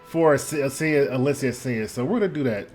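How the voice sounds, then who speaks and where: A man's voice: mid-range voice, a little fast, darkish tone. A man giving casual, rambling play-by-play of a video game with playful slang. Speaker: gaming video host. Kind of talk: casual gameplay commentary. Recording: game music behind voice. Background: game music.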